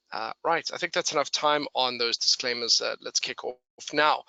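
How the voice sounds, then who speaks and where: Bright-voiced man, English-South African accent. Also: medium pitch, dry recording, low-quality recording. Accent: mixed English and South African. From England and South Africa. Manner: chatty, explanatory, addresses listeners directly. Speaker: webinar presenter and trader. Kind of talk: live instructional webinar, conversational.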